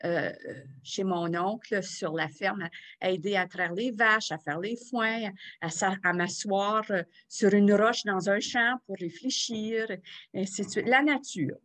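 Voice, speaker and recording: A woman's voice: medium pitch, measured pace. An older woman, a guest in a casual remote interview, telling stories from her youth with restarts. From Cornwall, Ontario. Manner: warm storytelling with laughs and restarts. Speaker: interview guest, community association president.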